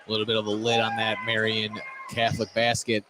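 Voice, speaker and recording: Guy doing hoops color commentary, talks quick, lowish voice, noisy gym around him. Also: completely flat delivery. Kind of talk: live basketball radio analysis. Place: courtside broadcast spot in a school gym. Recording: noisy live gym broadcast.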